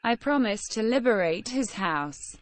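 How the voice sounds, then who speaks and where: Terse, resolute game heroine. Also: female voice, fairly high pitch, lively intonation, unhurried. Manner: direct, serious. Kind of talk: fantasy video game acting.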